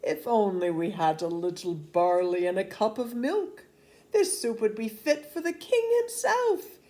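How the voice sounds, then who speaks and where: A woman's voice: medium pitch, animated, unhurried, darkish tone, lightly acted. A woman voicing wily male soldiers in a children's storybook, with theatrical, sighing hints and sly boasts. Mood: sly, wistful. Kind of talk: children's storybook read-aloud.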